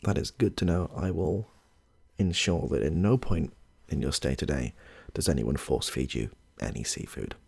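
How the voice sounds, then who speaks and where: A man playing a chatty, affable medical intake clinician in a soft-spoken ASMR roleplay, hesitant and reassuring, with a husky, gravelly voice. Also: a bass voice, moderate pace, full character voice. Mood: attentive, mildly flustered. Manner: hesitant, rambling, reassuring, murmurs to himself.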